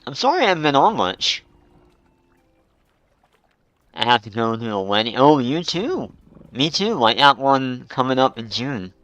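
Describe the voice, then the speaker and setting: A man's voice: medium pitch, big pitch swings, moderate pace, fairly bright tone, dry recording. A man in his forties chatting casually as he streams a game, rambling and musing aloud. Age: 40s. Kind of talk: casual live-stream chat while gaming.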